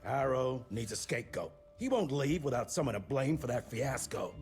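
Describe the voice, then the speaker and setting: An actor playing a calm, wry veteran agent who speaks in short, laconic lines. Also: male voice, medium pitch, moderate pace, vocal fry, faint music behind. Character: steady, dry-witted male veteran agent.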